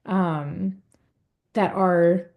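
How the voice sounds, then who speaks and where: A young woman speaking on a webinar panel over a video call, earnest and halting, with many fillers and restarts. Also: on the low side, fairly even intonation, gravelly, a little mellow. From United States. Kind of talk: webinar testimony.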